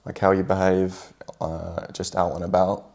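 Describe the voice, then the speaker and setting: An English man talking casually with his partner, relaxed and hesitant, with many fillers. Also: low-pitched, moderate pace, creaky. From England. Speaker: guest partner. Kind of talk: casual chat.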